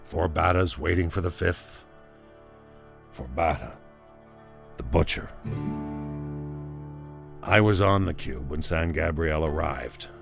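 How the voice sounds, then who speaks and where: An actor playing a stoic, grief-numbed man narrating a memory in an audio drama, gravelly and somber, with halting phrasing. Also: extremely low pitch, heavily acted. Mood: somber, wry. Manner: slow, halting, somber delivery. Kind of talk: dramatic first-person audio drama monologue. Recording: music behind the voice.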